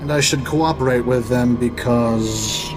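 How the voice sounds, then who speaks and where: A wary, shady retired businessman from a video game, voiced by a male actor. His mid-pitched voice is guarded, brusque and dismissive, with faint music behind it. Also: measured pace, natural voice, poor audio.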